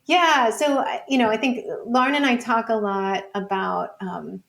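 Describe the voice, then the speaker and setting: A woman's voice: a little high, a little slow, creaky, fairly bright tone. A woman speaking as an interview guest, explaining ideas in a thoughtful, informal way with a lot of conversational hedging. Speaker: podcast guest, author and academic.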